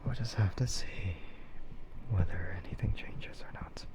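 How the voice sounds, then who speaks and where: A man softly whispering an unhurried, rambling ASMR livestream chat in a breathy voice, close to the microphone. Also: low voice. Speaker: livestreamer and content creator.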